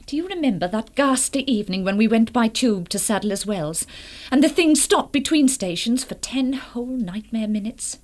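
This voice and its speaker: Vintage radio play: woman to play neurotic, self-deprecating wife; high register, wide melodic swings, breathless delivery. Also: expressive, a little mellow, lightly acted. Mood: intense. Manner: breathless, dramatic, halting repetitions. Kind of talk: vintage amateur radio drama acting.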